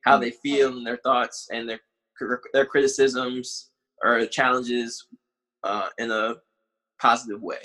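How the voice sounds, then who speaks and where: A man in his late twenties talks through his ideas in an easygoing podcast conversation. His voice sits at a middling pitch. He speaks reflectively and pauses to find the right words. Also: little pitch movement, pushed and bright, poor audio. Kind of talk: casual conversation.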